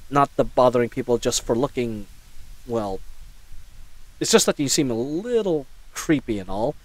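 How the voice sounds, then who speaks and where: A man's voice: medium pitch, animated, normal speed, vocal fry, low-quality recording. A man playing a flustered, chatty knight in a scripted audio roleplay, rambling nervously and stammering. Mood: pleading, rambling. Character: babbling, flustered young male knight caught in a snare.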